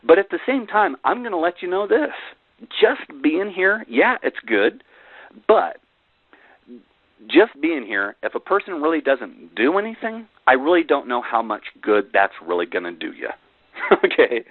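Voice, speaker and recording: A man giving an emphatic motivational sales pitch, repeating phrases for effect and tossing in folksy rhetorical questions. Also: very animated, measured pace, no echo. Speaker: network marketing presenter on a company conference call. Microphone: telephone. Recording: tinny, phone-like conference call line.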